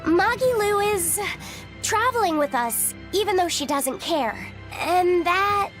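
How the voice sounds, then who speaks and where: A soft-hearted young boy in a video game. The voice is high and childlike, earnest and slightly hesitant, with faint music underneath. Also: lively intonation, slow pace, heavily acted. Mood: thoughtful, admiring. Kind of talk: English video game voice acting.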